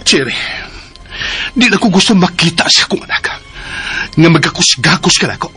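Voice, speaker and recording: A man's voice: fairly high pitch, lively intonation, normal speed, sharp tone. An actor playing a cold, irritated man in a radio drama, curt and exasperated, with a Cebuano Filipino accent. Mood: stern. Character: cold, irritated man rebuffing a clingy woman. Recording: radio drama with faint music bed.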